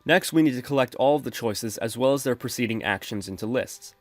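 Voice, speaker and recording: A man calmly explaining code step by step as the host of a screen-recorded programming tutorial. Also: medium pitch, brisk, no echo. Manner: steady explanatory, addresses viewers as folks.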